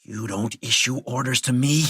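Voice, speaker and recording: A man's voice: mid-range voice, normal speed, breathy edge, no room sound, clean studio sound, voice pushed up, close to an everyday voice. A man voicing a big, thin-skinned, bullying president in an audiobook, with a curt, tense bluster. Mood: sneering. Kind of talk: audiobook character voice.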